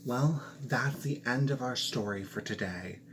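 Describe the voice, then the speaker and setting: A man reads a fairy tale aloud at a slow, unhurried pace, sometimes stopping to restart a word. His voice sits at an ordinary middle pitch. A faint hum lingers in the background. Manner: slow, careful reading with small restarts. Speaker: storyteller.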